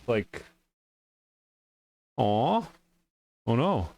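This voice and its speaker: A man's voice: mid-range voice, very animated, extremely slow, creaky, darkish tone. A man playing a game, reading its text aloud and breaking off for informal asides as he comments. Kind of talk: let's play commentary with dialogue read aloud. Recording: music behind the voice.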